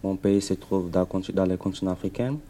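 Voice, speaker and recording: A man from the Congo, French learner, hosting a school radio show in a halting way with restarts. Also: low-pitched, steady tone, mellow, a little hiss or hum. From Democratic Republic of the Congo. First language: Lingala. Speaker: student radio host.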